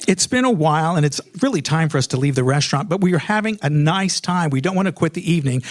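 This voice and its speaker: A middle-aged American man telling a personal story in a comedic, self-mocking way, animatedly acting out remembered dialogue. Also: on the high side.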